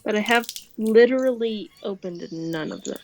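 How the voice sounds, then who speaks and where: A woman's voice: mid-range voice, normal speed, a little mellow, a little hiss or hum. A young woman streaming a game, chatting casually and exclaiming readily as she reacts to play. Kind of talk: casual co-op gameplay chat.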